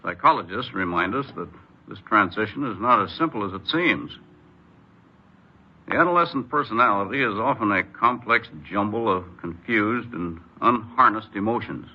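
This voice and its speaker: A man's voice: on the low side, animated, normal speed, slightly rough. A man delivering a formal scripted address as an official on vintage radio. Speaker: corrections official giving commentary. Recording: muffled old radio broadcast.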